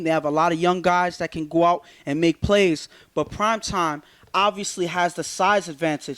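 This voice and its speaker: A man, co-hosting a sports talk show and earnestly analyzing football, sometimes repeating words as he builds a point. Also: high-pitched.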